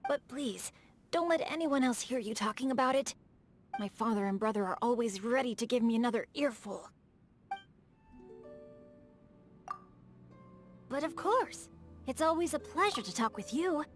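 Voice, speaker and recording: A woman's voice: fairly high pitch, lively intonation, a little fast, faint music behind, close to an everyday voice. A woman voicing a refined, bookish teenage boy in a video game, eloquent, genteel and a little theatrical. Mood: hushed, courteous. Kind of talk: video game character voice acting.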